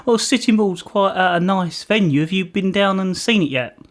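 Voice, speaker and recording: A man's voice: sits high, normal speed. A man hosting a casual community radio interview, asking questions in a polite, tentative way.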